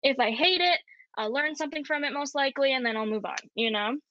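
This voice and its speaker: A young woman with a high, squeaky voice, chatting as a podcast guest, giggly and rambling with many fillers. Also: fast, sharp tone, dull audio, slight background noise. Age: young adult. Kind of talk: casual podcast chat.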